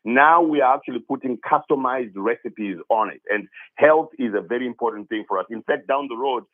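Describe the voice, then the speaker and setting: A man's voice: mid-range voice, brisk. A man from Zimbabwe calling in to a radio show. He talks eagerly, stumbling into restarts and repeated words as he explains his ideas, heard through a narrow, tinny phone line. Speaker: caller, app founder. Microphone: telephone.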